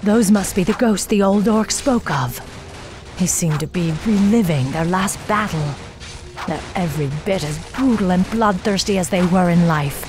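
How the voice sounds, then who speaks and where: A woman's voice: fairly low pitch, moderate pace, over music, natural voice. A woman acting a stern, vengeful night elf commander in a video game, solemn, formal and commanding. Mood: grim, wary.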